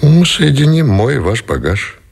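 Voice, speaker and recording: An actor playing a grave, courtly middle-aged Russian admiral in love, in measured, tender radio drama style. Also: male voice, mid-range voice, big pitch swings, gravelly. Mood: calm, warm.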